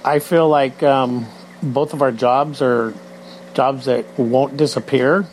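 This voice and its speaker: A man's voice: mid-range voice, steady tone, takes it slowly, darkish tone, a little hiss or hum, rough recording. A man in his sixties, a poll respondent, talking in a casual, candid way. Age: 60s.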